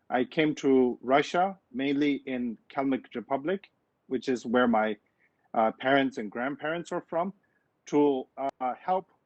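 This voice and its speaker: A man raised in India speaks as a Buddhist lama on a panel, measured and unhurried, pausing often. His mid-pitched voice comes through dull, lo-fi call audio with no room sound at all. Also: no room sound. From grew up in India. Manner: measured, unhurried, frequent hesitations. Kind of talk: formal panel talk.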